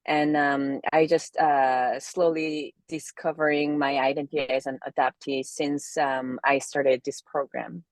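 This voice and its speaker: A woman's voice: low-pitched, flat delivery, a little slow, hardly any pauses, no room sound. A woman from South Korea with a Korean accent, speaking reflectively as an interview guest and often searching for words. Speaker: interview guest, somatic movement therapist.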